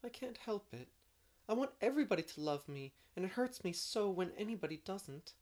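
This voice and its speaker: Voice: mid-range voice, expressive, brisk, a little mellow, pitched above the performer's own voice, natural voice. A narrator voicing an emotional young woman teacher who sobs and pleads over her shame. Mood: distressed. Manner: sobbing, pleading, heightened.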